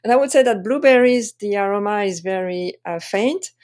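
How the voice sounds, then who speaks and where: A woman with a French accent presenting an explanatory lesson as a wine tasting coach, speaking haltingly with frequent pauses. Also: mid-range voice, a little mellow. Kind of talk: presentation lesson to camera or slides.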